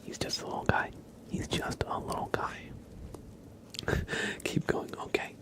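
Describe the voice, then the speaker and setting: A man doing casual ASMR chat in a hushed, mostly whispered voice, rambling gently. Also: unhurried. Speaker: ASMR livestreamer.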